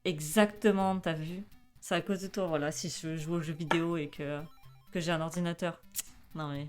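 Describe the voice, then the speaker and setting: A woman's voice: deep voice, fairly even intonation, moderate pace, soft background music. A woman in her twenties chatting casually on a live stream, with many fillers, reading chat aloud and laughing often. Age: 20s.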